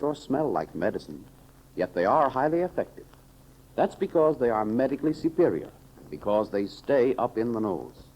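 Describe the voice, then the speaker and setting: Faintly noisy vintage radio, male announcer, 1930s serial narration, very animated intonation, emphatic, dramatic, scripted. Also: on the low side.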